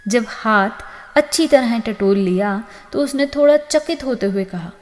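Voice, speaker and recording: A woman narrating a scripted moral story as host, with an expressive storytelling delivery. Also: on the high side, a little slow. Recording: long reverb on the voice.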